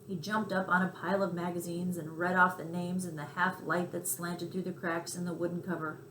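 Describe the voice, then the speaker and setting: A woman reading a children's book aloud in an expressive storytelling style. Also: fairly low pitch, a little fast. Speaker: storybook reader. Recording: roomy echo, faint background noise.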